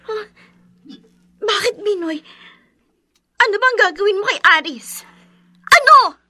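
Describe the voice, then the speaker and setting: Radio horror ingenue: young woman student, indignant, piercingly high and bright. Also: expressive, takes it slowly, full character voice. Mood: alarmed. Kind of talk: Filipino radio horror drama acting.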